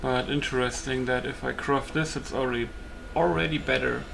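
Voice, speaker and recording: A man's voice: medium pitch, steady tone, normal speed, gravelly, rough recording. A man giving casual let's play commentary as a gaming host, thinking aloud in a hesitant way. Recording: loud music behind the voice. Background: music.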